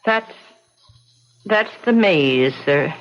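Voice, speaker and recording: An actress playing an anxious, deferential housekeeper in an old radio drama, speaking politely and hesitantly. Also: female voice, low voice, expressive, very slow, heavily acted. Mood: nervous, deferential. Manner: hesitant, polite, fussing, trailing off. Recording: muffled, hissy old radio drama recording.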